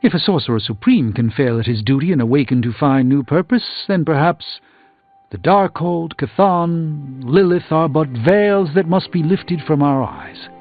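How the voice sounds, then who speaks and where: An actor playing a learned, reassuring sorcerer in a video game, speaking in a formal, measured, philosophical way. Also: male voice, fairly high pitch, expressive, no room sound. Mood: earnest counsel. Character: grave, learned, reassuring adult male sorcerer. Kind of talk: scripted video game character dialogue. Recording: tinny band-limited audio with music.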